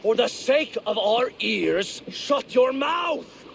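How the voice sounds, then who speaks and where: An actor playing a hostile, rude brawler who shouts insults in a gravelly voice. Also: male voice, extremely high pitch, expressive, slow pace, slight background noise, full character voice. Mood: angry.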